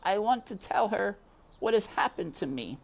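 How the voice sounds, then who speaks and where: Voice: medium pitch, sing-song, moderate pace, vocal fry, voice pushed up. A reader voicing a small, earnest young male mouse with a thin little pleading voice, in a storybook read-aloud. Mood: timid, hopeful.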